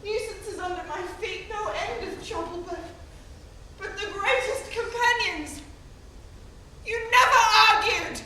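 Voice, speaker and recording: A woman's voice: very high voice, a little slow, bright, forceful tone, heavily acted. An actress playing the anguished spirit of a dead mother in a theatrical tragic monologue. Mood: fond, reproachful. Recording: very echoey hall, distant mic, faint noise.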